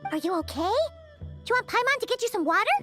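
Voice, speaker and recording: A woman voicing a small, bubbly, childlike fairy companion in a video game, excitable and blurting, with a squeaky voice. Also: quick pace, soft background music, full character voice. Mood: curious, puzzled. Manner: bubbly, blurting, refers to self in third person.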